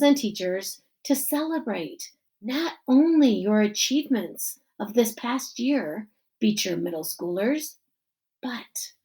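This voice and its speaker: A woman voicing a middle-aged male school principal giving an earnest graduation speech in a children's novel, measured and emphatic with deliberate pauses. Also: on the high side, creaky, mellow, exaggerated voice. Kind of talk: children's novel read-aloud.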